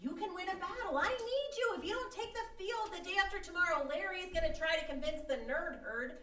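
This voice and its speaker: A woman's voice: very high voice, brisk, sharp tone. An actress playing a bossy, self-important mock-medieval queen in a radio comedy. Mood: urgent, scheming. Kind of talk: old-time radio comedy acting. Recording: roomy distant live stage, music behind.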